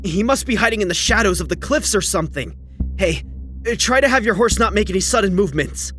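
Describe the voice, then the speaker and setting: Young male anime racer acted by a man, high voice, very animated, anxious, questioning, incredulous, dramatic dub style. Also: fast talker, soft background music. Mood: tense, reasoning. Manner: excitable, incredulous, swinging from muttering to shouting.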